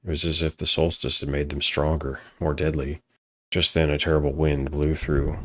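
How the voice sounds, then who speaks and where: A man narrating a scripted fantasy story in a solemn, measured manner. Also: a bass voice, background noise.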